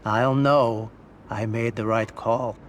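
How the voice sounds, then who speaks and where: Male actor as older crime boss, measured, understated authority, calculating, game cutscene, faint rumbling noise. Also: steady tone, natural voice. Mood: measured, probing. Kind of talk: video game cutscene acting.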